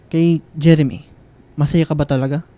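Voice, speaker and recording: A man with a Filipino accent playing a hesitant, soft-spoken young man hopelessly in love with his best friend, in a radio drama. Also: a little high, flat delivery, moderate pace, lightly acted. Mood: hesitant, wistful.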